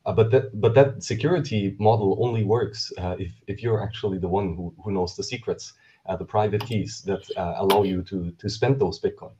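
A low-pitched man with a mellow, dark tone explains his point earnestly and fluently, dropping steady uh fillers. He speaks through a headset on a video call, and the audio comes through dull and lo-fi. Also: a little fast, slight background noise. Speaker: panelist. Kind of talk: explaining on a panel.

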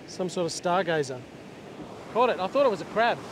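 Middle-aged man, chatty fishing vlog host, lapel mic, loud waves and wind behind. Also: high voice, lively intonation, fairly quick. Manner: chatty, explains while working, restarts sentences. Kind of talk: casual instructional vlog. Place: open ocean beach outdoors, surf. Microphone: clip-on lapel mic. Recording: loud surf and wind noise. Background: crashing waves and wind.

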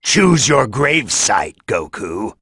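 An actor playing an arrogant, sinister old android scientist in an anime game, with a gravelly, raspy voice and a haughty, deliberate delivery. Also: male voice, fairly low pitch, big pitch swings, heavy background noise, exaggerated voice. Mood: cold, haughty. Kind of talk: English anime game voice acting.

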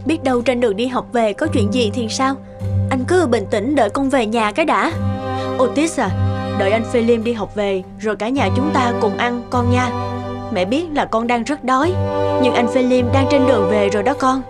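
A woman voicing a gentle, protective mother in a cartoon, coaxing and soothing. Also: fairly high pitch, normal speed, over music, lightly acted. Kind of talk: Vietnamese animated moral tale dubbing.

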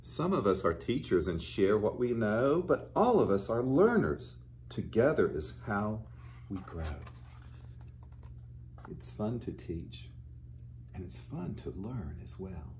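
A man in his fifties, a pastor reading a picture book aloud to young children and explaining it gently and simply. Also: fairly low pitch, very animated, takes it slowly, slight background noise. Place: small furnished living room, indoors.

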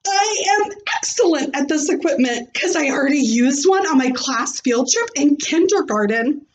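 Shrill, excitable little first-grade girl. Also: moderate pace, low-quality recording. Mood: boastful. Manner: exclamatory, childlike grammar. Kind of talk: expressive storybook read-aloud.

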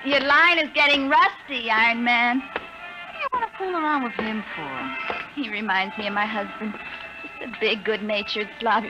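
A woman's voice: fairly high pitch, normal speed, over music, lightly acted, low-quality recording. A woman acting a sassy, teasing young wife with slangy wisecracks in a 1950s radio drama. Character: sassy, teasing married city girl. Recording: vintage 1950 radio broadcast.

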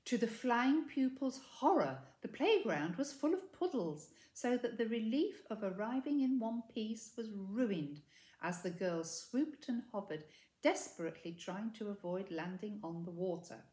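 A woman's voice: on the high side, lively intonation, measured pace, darkish tone. A woman in her sixties reading a children's story expressively, recorded indoors at a short distance from the camera. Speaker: storybook reader. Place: indoors, living room by a window. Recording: echoey room.

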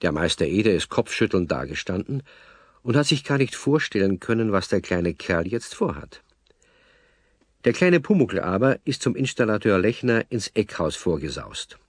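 A man's voice: fairly low pitch, sing-song, moderate pace, no echo, studio quality. A man narrating a scripted children's story.

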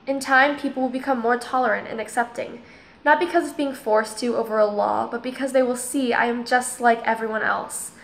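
A woman playing an earnest, principled student girl in a scripted drama, explaining things in a measured, preachy way. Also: fairly high pitch, natural voice. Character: earnest, tolerant, principled transgender girl student named Holly. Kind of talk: scripted audio drama dialogue, speech-like monologue.

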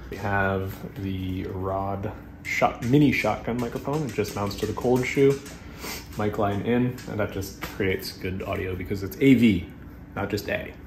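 A man's voice: lowish voice, measured pace, reverberant, slight background noise. A man in his thirties casually showing off video gear in a living room, joking and laughing at himself, recorded on a lapel mic. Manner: jokey, self-mocking, laughs often. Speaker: vlog host. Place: wood-paneled living room indoors. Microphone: clip-on lapel mic.

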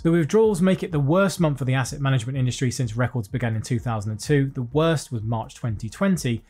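A man's voice: medium pitch, darkish tone, breathy edge. British man reading finance headlines wryly. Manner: reads headlines briskly, adds wry jokes. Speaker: finance news presenter. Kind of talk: scripted news roundup with dry asides. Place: small home studio with desk and lights, indoors.

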